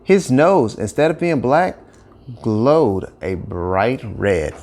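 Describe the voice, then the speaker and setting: A man narrating a scripted children's story with expressive, emphatic phrasing. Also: mid-range voice, slow.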